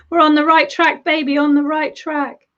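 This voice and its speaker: A high-voiced woman reading tarot cards live for viewers, warm-natured in words, calling people darling and love. Her voice is a bit hoarse from losing it, and the stream audio sounds dull and lo-fi. She talks in loose, chatty runs with small restarts. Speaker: tarot reader.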